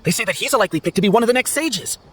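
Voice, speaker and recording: A man's voice: high voice, animated, very fast, fairly bright tone, a little hiss or hum. An actor playing a gossipy male scholar passing on rumours in a video game.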